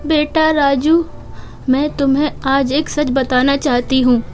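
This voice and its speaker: A woman voicing a gentle, remorseful servant mother in a children's story, earnest and apologetic. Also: sits high, fairly even intonation, measured pace, faint music behind. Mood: confessing, earnest. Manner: earnest, apologetic, plain-spoken.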